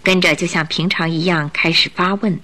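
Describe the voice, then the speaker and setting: A woman narrating a radio drama in an expressive, scripted storytelling style. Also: fairly low pitch, a little fast.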